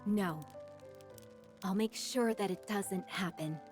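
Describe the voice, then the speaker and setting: A woman's voice: medium pitch, slow, darkish tone, faint music behind, close to an everyday voice. A woman playing a loyal, self-dramatizing young warrior who speaks formally and solemnly in a game dub. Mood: resolute, devoted. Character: grandiose, loyal young woman warrior with dark-fantasy delusions. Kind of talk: English game dub voice acting.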